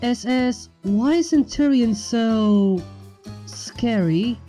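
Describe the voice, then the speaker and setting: A woman's voice: a little high, extremely slow, dark tone, soft background music. A woman voicing an earnest, uneasy young female dragon who is easily irritated, in a fan fiction reading.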